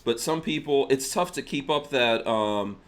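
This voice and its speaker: A man's voice: medium pitch, unhurried, over a noisy crowd. A man hosting a podcast, talking in a casual, conversational way. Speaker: podcast host.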